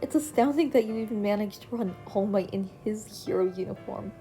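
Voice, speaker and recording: A woman's voice: mid-range voice, fairly quick, warm tone, faint music behind, natural voice. A woman reading as a teasing, playful teacher. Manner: teasing, amused.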